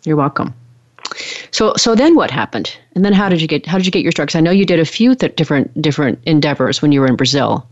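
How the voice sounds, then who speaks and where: A woman's voice: sits low, expressive, fast, dry recording. A woman hosting a conversational interview in an encouraging, appreciative manner. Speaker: host, interviewer. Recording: dry, slightly dull lo-fi sound.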